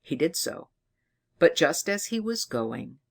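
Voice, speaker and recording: A narrator reading a fairy tale in an expressive, theatrical storytelling manner. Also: medium pitch, takes it slowly, gravelly.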